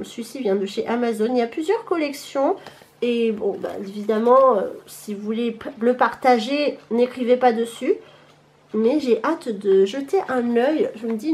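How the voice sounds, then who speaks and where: A high-pitched woman chats to a camera at home, her voice stuffy from a blocked nose. She rambles through her gifts with plenty of "euh"s, relaxed and talkative. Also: a little hiss or hum. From Bordeaux, France. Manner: chatty, rambling, frequent fillers. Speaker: vlogger. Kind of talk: casual haul chat to camera. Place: home room with shelves and Christmas decor, indoors.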